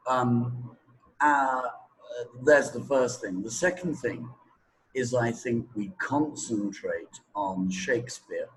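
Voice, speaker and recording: A middle-aged man giving opinionated, deliberate answers with long pauses in a conversational interview. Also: medium pitch, a little hiss or hum, rough recording. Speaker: English teaching expert being interviewed.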